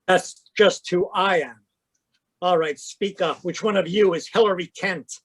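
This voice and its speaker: Man voicing a crusty jittery old man, gruff, high voice, dry close mic. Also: unhurried, a bit bright, no room sound, exaggerated voice. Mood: irritable. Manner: gruff, irritable, suspicious. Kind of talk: radio drama read live over video call. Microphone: home microphone on a video call.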